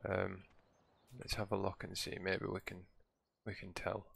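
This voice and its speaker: A man with a croaky, hoarse voice hosts his own gameplay channel. He talks in a low register with a bright, forceful edge and chats along in a relaxed, meandering way. It sounds like casual commentary over a video game. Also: a little slow. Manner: relaxed, rambling, chatty. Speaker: let's play host.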